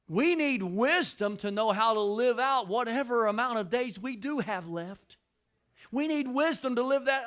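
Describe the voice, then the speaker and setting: High-pitched older man preaching a sermon. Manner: preaching with emphatic rises and shouted lines; repeats words when building a point. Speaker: pastor.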